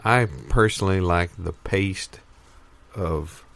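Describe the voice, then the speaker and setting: Man playing a deep-voiced, earnest deadpan grandson. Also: slow, creaky, heavily acted. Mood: earnest, polite. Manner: solemn deadpan, courteous, wordy. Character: polite, earnest grandson swapping absurd old memories. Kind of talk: improvised audio comedy.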